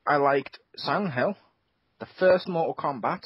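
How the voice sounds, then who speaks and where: A man's voice: a little high, measured pace, husky, dry recording. Man, podcast host, casual gaming chat, swears a lot, dry close sound, dull lo-fi audio. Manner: animated, swears a lot, acts out his own reactions.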